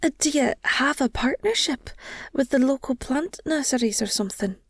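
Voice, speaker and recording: A woman playing an affectionate, nerdy werewolf girlfriend in an intimate audio roleplay, soft and breathy, often near a whisper, speaking tenderly. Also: high-pitched, expressive, dry recording, clean studio sound. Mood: excited, proud. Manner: gushing, tender, hesitant with pauses and sighs.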